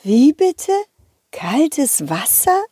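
A woman's voice: sits high, big pitch swings, extremely slow, warm tone, slightly rough, dry recording, clean studio sound, exaggerated voice. A woman voicing a grumpy, sleepy female lemon teacher who is dismissive and whiny and wants to be left alone. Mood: grumpy, weary.

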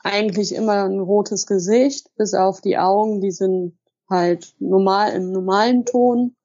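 A woman talks conversationally on a podcast. Her voice is mellow and medium in pitch, and the recording sounds dull. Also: fairly even intonation, a little slow, dry recording. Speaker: podcast participant, self-help group member.

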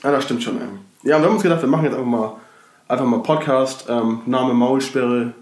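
A man co-hosting a casual podcast from his shared flat, slightly congested from a cold, rambling with many fillers and restarts. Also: medium pitch, fast talker, roomy sound. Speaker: podcast co-host. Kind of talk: casual chat.

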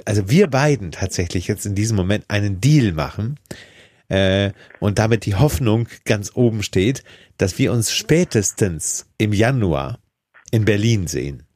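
A man's voice: fairly low pitch, expressive, moderate pace, a little mellow, no room sound, studio quality. A male radio host interviewing a guest in a casual, friendly way, often answering with short agreements.

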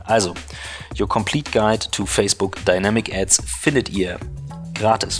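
German guy doing a chatty podcast ad, deep voice, music going clearly behind him. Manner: chatty, personal address to listeners. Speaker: podcast host reading sponsor message. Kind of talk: informal host-read podcast advert.

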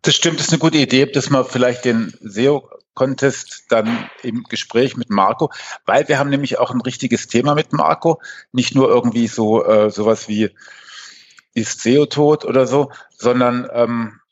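A man with a husky voice co-hosts a podcast and talks in a loose, playful way with plenty of restarts. The audio is slightly dull and lo-fi. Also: medium pitch, normal speed. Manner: playful, hypes guests, rambling restarts. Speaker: podcast co-host. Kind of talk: casual podcast conversation.

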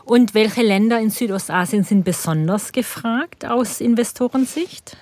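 Woman host asking measured questions. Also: on the high side, a little mellow, no room sound, studio quality. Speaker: podcast host. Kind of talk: podcast interview questions.

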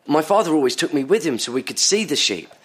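Troubled polite patient, radio drama, halting, pitch goes up and down, bit husky. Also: male voice, big pitch swings, a bit bright, exaggerated voice. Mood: wistful. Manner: halting, repeating words as he recalls. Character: troubled, polite male patient recounting dreams to analyst. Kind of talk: audio drama acting.

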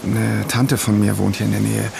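An actor playing a calm friend who takes charge in a naturalistic audio drama, measured and conciliatory. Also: male voice, lowish voice, creaky, background noise. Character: Vincent, calm placating male friend taking charge.

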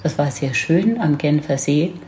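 An older woman in her seventies with a Baden regional accent tells stories from her youth. Her voice sits low and mellow, and she talks in an unhurried, reminiscing way with the odd chuckle. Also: expressive, slight background noise, low-quality recording. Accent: Baden regional German. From near Heidelberg, Germany. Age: 70s. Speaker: podcast guest, grandmother. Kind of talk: casual storytelling.

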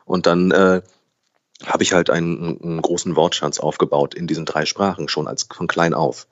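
A man's voice: deep voice, level tone, normal speed, muffled recording. A man in his late twenties, a guest in an interview, talking thoughtfully and often correcting himself mid-sentence. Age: 20s. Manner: thoughtful, restarts and self-corrections. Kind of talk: conversational interview.

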